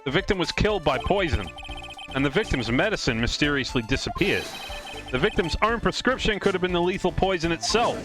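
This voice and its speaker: A man's voice: a little high, lively intonation, brisk, natural voice. A man playing an earnest, flustered young defense lawyer in an amateur live dub of a courtroom game. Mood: puzzled. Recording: game music clearly behind voice.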